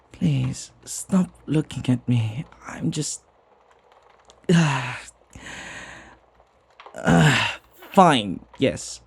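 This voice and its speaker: A man playing a flirty, devoted butler in an intimate ASMR roleplay, with genteel, formal phrasing and a husky voice. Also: mid-range voice, a little mellow, full character voice. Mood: flustered, jealous. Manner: formal, genteel phrasing with teasing familiarity and sighs. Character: flirty, gossipy, devoted butler, jealously protective of master.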